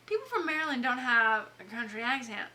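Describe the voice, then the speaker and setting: A woman from the Southern US gives her frank opinions on books in a bright, crisp voice. Her pitch sits a little high, and she laughs easily between her points. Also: big pitch swings. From Southern United States. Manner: candid, opinionated, quick to laugh. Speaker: book podcast co-host. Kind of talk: casual chat.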